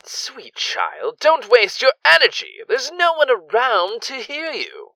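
Swooping, pompous space bandit boss. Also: male voice, extremely high pitch, huge pitch swings, slow pace, gravelly, pushed and bright, no room sound, heavily acted, poor audio. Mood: mock-soothing. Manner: pompous, theatrical. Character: smug, flowery-spoken male kidnapper gang leader. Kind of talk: audio drama villain acting.

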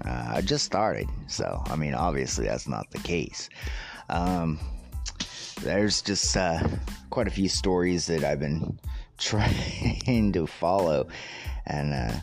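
A man hosting a casual solo podcast about news stories, with a slightly raspy voice and a halting, amused way of talking. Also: extremely low pitch, expressive, soft background music. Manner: halting, pausing mid-sentence; amused, chuckling as he talks. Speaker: podcast host.

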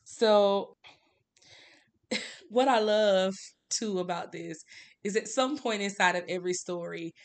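A woman podcast co-host in casual conversation about books. She speaks candidly and emphatically, doubling back on phrases to drive a point home. Her voice sits at a middle pitch. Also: takes it slowly, long pauses. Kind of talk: casual book discussion.

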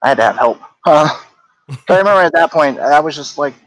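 A man's voice: a little high, expressive, a little fast, fairly bright tone, dry recording, rough recording. A man in his thirties, a guest on a podcast, talking casually and thoughtfully with frequent hedges and fillers. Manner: reflective, hedging with "like" and "I don't know". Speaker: guest, game company co-founder. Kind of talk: casual interview chat.

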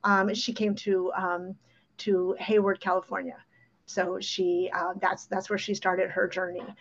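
A slightly muffled, lo-fi recording carries an American woman in her fifties, raised speaking Ukrainian. She tells stories casually as a guest, with conversational asides, in a medium-pitched voice. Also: level tone, a little slow. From New York City, USA. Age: 50s. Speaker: podcast guest. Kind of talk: casual personal storytelling.

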